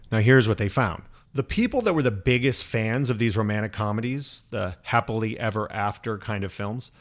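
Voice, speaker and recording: A man co-hosting a conversational discussion, explaining things methodically and putting questions to his partner. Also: fairly low pitch. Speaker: co-host. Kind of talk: conversational podcast-style discussion.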